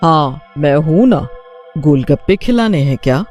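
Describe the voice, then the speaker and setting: A man's voice: fairly high pitch, lively intonation, unhurried, darkish tone, soft background music. A man voicing a vengeful witch girl who sells street snacks, stern and confrontational, in a cartoon story. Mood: businesslike.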